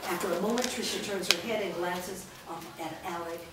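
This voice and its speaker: A woman reading a screenplay's stage directions aloud in a measured, descriptive way at a staged reading, recorded in a large studio from a distance. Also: on the low side, heavy background noise. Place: large indoor rehearsal studio. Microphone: far camera mic. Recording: echoey studio from far off.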